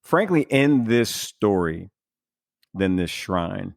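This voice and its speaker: A man with a fairly low voice hosts a podcast, rambling through his thoughts with plenty of fillers and false starts. The sound is dry and close, with no room around it. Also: big pitch swings, slow pace, vocal fry, no room sound. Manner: rambling, many fillers and restarts. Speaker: podcast host. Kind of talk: casual podcast chat.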